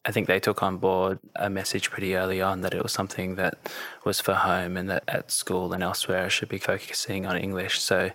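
A man, a journalist guest in a news podcast interview, speaking in a measured, thoughtful way with slight hesitations. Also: low-pitched, completely flat delivery, a bit bright. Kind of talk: interview conversation.

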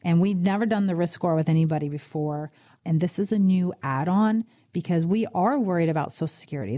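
A middle-aged woman co-hosting a casual financial talk show, animated and quick with exclamations. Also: lowish voice. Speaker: podcast co-host, financial advisor. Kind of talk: casual radio chat.

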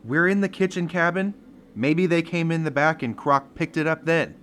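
An actor playing a nervous, defensive cook at a lodge in an old-time radio murder mystery, anxious and protesting. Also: male voice, a little high, normal speed, fairly bright tone, a little hiss or hum, lightly acted.

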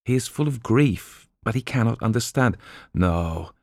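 A man's voice: fairly low pitch, lively intonation, unhurried, gravelly, no room sound, clean studio sound, voice pushed up, heavily acted. A man reading an audiobook voices a feverish young wife, anguished and delirious.

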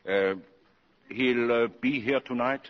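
An actor playing a senior German ambassador in a radio drama, authoritative, measured and wry. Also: male voice, mid-range voice, natural voice. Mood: wry, commanding. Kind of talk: 1950s radio drama acting. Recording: muffled old radio broadcast recording.

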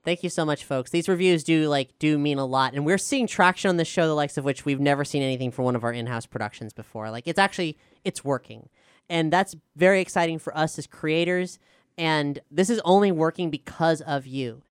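A woman's voice: deep voice, fairly quick, clear, resonant tone, no echo, studio quality. A woman hosting a podcast in casual panel chat, speaking informally with playful asides. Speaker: podcast showrunner and host.

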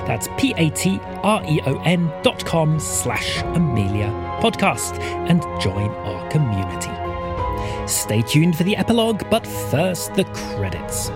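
A man reading scripted podcast announcements and credits as the show's announcer. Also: on the high side.

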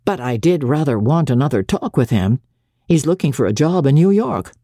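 A woman voicing an edgy, perverse young gentleman husband with dry retorts, in a dramatic audiobook reading. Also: extremely low pitch, very animated, moderate pace, no echo, full character voice. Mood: conceding.

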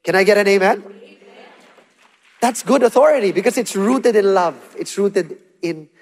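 A man in his thirties preaching in a high, bright voice. He swings between loud, punchy lines and soft, hushed ones, and calls out to the congregation for answers. His voice rings with the long reverb of a large hall. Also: moderate pace. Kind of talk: sermon with call-and-response.

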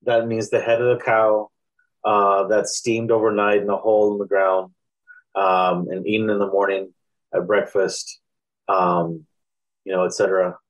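A man with a South Texas accent and a somewhat low voice speaks in a relaxed, reflective way. He pauses often and restarts his sentences while he works through an idea. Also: poor audio. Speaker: guest poet. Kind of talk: casual interview conversation.

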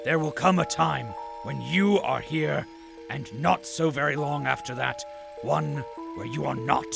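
Grandiose mournful chronicler, very gravelly creak, slow oratory, audio drama, music underneath. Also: male voice, on the high side. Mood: solemn, bleak. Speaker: narrator.

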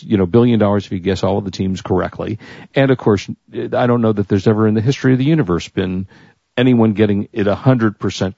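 An American man hosting a radio show in casual chat, talking in a halting, wordy way and often repeating himself. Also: fairly low pitch, gravelly, warm tone, no room sound, muffled recording.

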